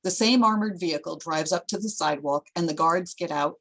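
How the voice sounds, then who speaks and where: Low-voiced woman narrating a screenplay. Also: a little fast, fairly bright tone. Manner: plain, descriptive reading. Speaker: stage-direction narrator.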